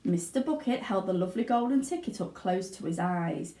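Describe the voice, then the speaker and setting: A woman giving an expressive narration of a children's storybook. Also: lowish voice, fairly quick. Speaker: teaching assistant reading aloud. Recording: roomy indoor echo.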